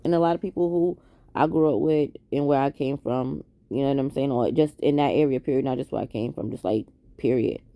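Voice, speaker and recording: A woman's voice: a bass voice, fairly quick, no echo, muffled recording. A woman around forty, talking to camera in a candid, hesitant, reflective way, with many ums and restarts. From Queens, New York. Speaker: vlogger. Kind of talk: candid late-night confessional talk to camera.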